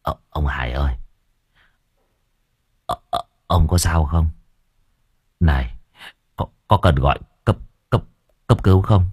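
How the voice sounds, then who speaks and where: A man doing a low, fretful spirit medium. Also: brisk, a little mellow, breathy edge, no echo. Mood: flustered. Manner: low, hesitant, stammering. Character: fretful middle-aged male spirit medium.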